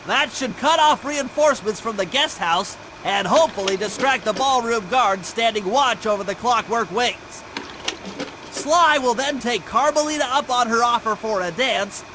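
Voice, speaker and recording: An actor playing a fussy, confident, brainy turtle tactician who gives precise, technical briefings in a cartoon video game. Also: male voice, very high-pitched, background noise. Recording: game music behind voice. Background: game music.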